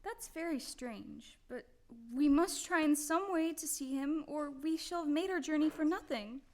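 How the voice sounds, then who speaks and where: A woman's voice: high voice, a little slow. A woman playing a polite, plucky young farm girl in an audio play. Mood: polite, earnest.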